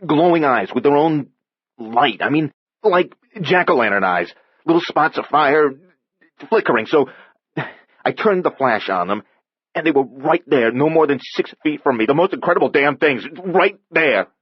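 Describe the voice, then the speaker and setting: Man doing a scared young repairman, twenties, stammering, shaky, raised pitch, slightly dull sound. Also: animated, moderate pace, slightly rough, no room sound. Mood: frightened. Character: terrified young male repairman in his twenties.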